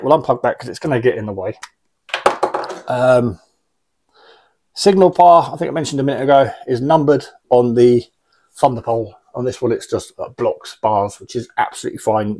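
A man's voice: medium pitch, darkish tone. A British man in his forties giving a casual, unscripted gear review in a wry, blunt, rambling way, recorded in a small room. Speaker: radio hobbyist reviewing gear. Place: small indoor radio room.

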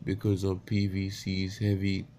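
A man in his thirties with a croaky morning voice, talking unscripted into a webcam in a small bedroom, halting with many restarts and stumbles. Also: deep voice, monotone, dark tone, slight background noise. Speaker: vlogger. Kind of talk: unscripted casual monologue. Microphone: webcam at close range.

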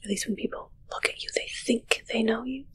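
A young woman playing a haughty, morbidly playful witch in an ASMR roleplay, whispering slowly and breathily in a musing, gently critical way close to the mic. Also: on the high side, steady tone, lightly acted. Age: young adult. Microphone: close microphone, seen at close range.

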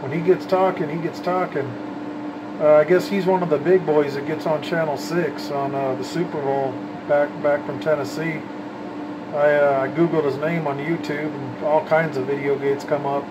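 A man's voice: fairly high pitch, fairly even intonation, moderate pace. A man chatting casually and in folksy slang, as an operator talking on CB radio. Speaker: CB radio operator, channel host. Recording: background hum and noise.

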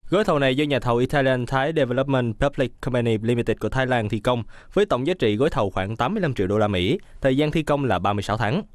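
A man reads news voice-over at a fast clip. His voice sits at a middle pitch and sounds dry and close, with no room around it. The delivery stays even and businesslike from sentence to sentence. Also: no echo. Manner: brisk, even newsreader delivery. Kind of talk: scripted news narration.

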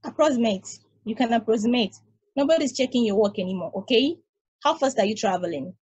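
A woman reading as a weary ancient space probe with a dark husky voice. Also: on the high side, a little fast, no echo. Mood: lecturing. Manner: calm, reasoning, explaining at length. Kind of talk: table read over video call. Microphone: video call.

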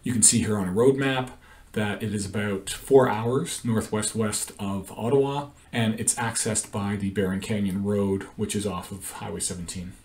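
A man's voice: on the low side, steady tone, normal speed, darkish tone, distant mic. A middle-aged man explains a canoe route over a shared screen, talking in a hesitant way with many ums and uhs. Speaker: canoe trip guide. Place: indoor room.

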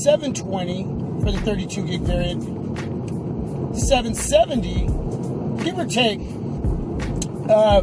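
A middle-aged man vlogging casually about tech from inside a moving car, halting as he thinks aloud. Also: high voice, rough recording. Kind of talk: casual unscripted vlog chat. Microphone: camera or phone on the dashboard.

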